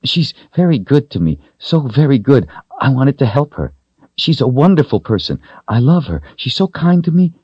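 A man performs a timid little servant girl in an audiobook tale. The voice is pushed high above his natural register, slightly husky, and it whimpers and pleads in a meek, frightened way. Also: very animated, normal speed, mellow, no echo, lo-fi sound. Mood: anxious, eager.

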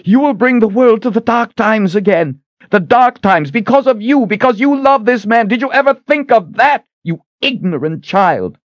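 A man's voice: low-pitched, lively intonation, normal speed, mellow, dry recording, highs cut off. A man voicing a furious, commanding witch woman in an audiobook, full of accusing menace. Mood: screaming fury. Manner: hissing threats, accusing rhetorical questions.

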